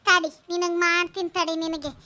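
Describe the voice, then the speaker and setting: A woman's voice: very high voice, little pitch movement, normal speed, background noise. A woman playing a bossy, loyal village neighbour in a rural melodrama, full of exclamations and scolding. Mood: indignant.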